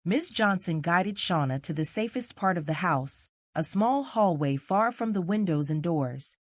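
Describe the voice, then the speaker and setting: A woman's voice: lowish voice, animated, measured pace, dry recording, studio quality. A woman reading scripted narration for a children's story as the narrator.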